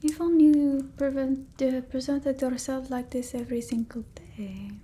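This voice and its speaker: A woman's voice: high-pitched, fairly even intonation, natural voice. A woman voicing a fussy, condescending female vampire in ASMR roleplay, mostly whispered, close to the mic. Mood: doting, fussy. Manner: halting, fussy, gently bossy, blunt. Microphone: close ASMR microphone.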